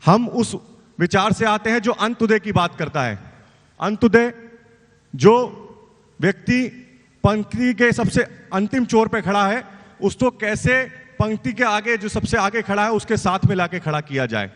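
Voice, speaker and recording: A man's voice: high voice, a little slow, fairly bright tone. A man speaking for his party on a debate panel, rhetorical and emphatic as he turns to his opponent. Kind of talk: political debate speech. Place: large conference hall on stage. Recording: long hall reverb.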